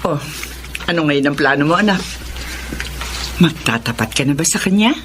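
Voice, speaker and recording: A woman's voice: deep voice, lively intonation, moderate pace, noisy background. A woman acting a frank, probing middle-aged mother in a Filipino radio drama, with a Filipino accent.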